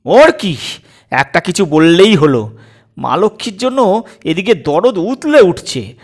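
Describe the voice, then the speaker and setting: A man voicing a sharp, exasperated middle-aged mother in a comic audio story, snapping out shrill complaints. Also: very animated, takes it slowly, heavily acted. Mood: scolding.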